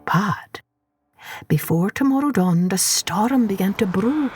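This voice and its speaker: Woman storyteller, children's narration, very lively intonation, slow pace, dramatic phrasing. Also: medium pitch, faint music behind.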